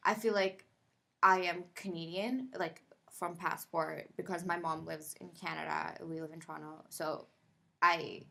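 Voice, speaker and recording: Woman, from Pakistan, low voice, vocal fry, halting, filler-heavy, podcast guest. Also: steady tone, pushed and bright. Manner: thoughtful, halting, lots of "like" and restarts. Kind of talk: casual conversational interview.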